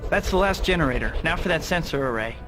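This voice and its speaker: A man's voice: on the high side, animated, quick pace, over loud music, close to an everyday voice. A man playing a young superhero in a video game, with an earnest, boyish and quippy way of talking. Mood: focused. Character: young male superhero, earnest, wisecracking and determined. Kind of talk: video game hero acting.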